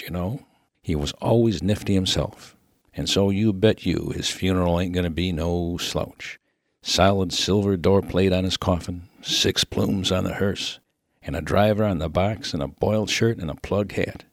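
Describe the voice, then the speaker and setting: A man voicing a rough, good-natured Old West miner with a rustic American accent and a slangy, emphatic way of talking in a humorous story. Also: deep voice, normal speed, no room sound, studio quality. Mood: boastful, eager. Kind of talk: dramatic reading of a humorous story.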